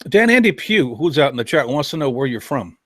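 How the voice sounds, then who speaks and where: A man co-hosting a podcast, making jokey, quick asides in casual chat. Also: medium pitch, big pitch swings, a bit bright, dry recording, studio quality. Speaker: podcast co-host.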